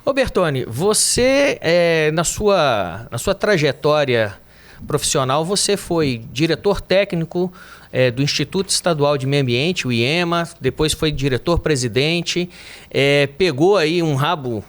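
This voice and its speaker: A man hosting a podcast, opening the episode and asking the guest questions in a friendly, sometimes halting way. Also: a little high, lively intonation. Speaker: podcast host. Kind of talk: podcast introduction and interview questions.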